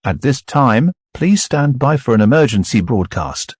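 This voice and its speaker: A man reading formal, scripted broadcast announcements in a steady tone. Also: mid-range voice, measured pace.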